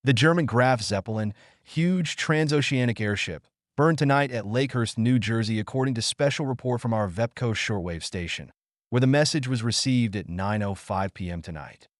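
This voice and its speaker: A man's voice: mid-range voice, normal speed, no echo, studio quality. A man giving a scripted narration, reading out old newspaper reports.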